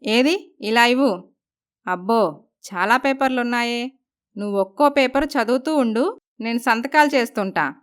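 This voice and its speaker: A woman's voice: on the high side, a little slow, pauses often, smooth, close to an everyday voice. A woman voicing an arrogant, commanding young businesswoman who scolds people in a moral story. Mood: bossy. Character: arrogant, bossy young businesswoman who bullies her husband. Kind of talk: dramatised moral story voice acting.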